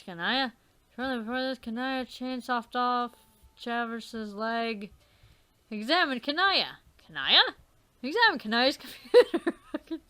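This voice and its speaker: High bright woman playing a game, rambly, swears constantly. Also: smooth, no echo. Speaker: let's player. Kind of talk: casual game commentary.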